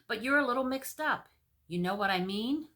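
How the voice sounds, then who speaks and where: Woman doing a hapless, animated storybook king. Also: on the high side, normal speed, voice pushed up. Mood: lovesick. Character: lovesick, exasperated, foolish king.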